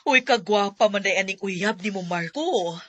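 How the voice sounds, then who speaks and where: A woman's voice: medium pitch, animated, normal speed, a bit bright, dry recording, muffled recording. An actress with a Cebuano Filipino accent playing a middle-aged, effusive, protective mother-in-law in a Bisaya radio drama. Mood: gushing, welcoming.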